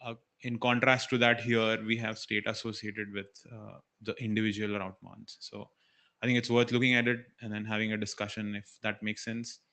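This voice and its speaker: Even, bright-voiced man giving technical comments. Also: fairly low pitch, moderate pace. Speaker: engineer commenting at a working group.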